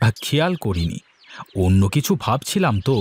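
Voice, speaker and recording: A man's voice: medium pitch, sing-song, normal speed, darkish tone, slight background noise. A man voicing a mild, absent-minded village husband in a cartoon, with a placating manner. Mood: distracted. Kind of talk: cartoon voice acting.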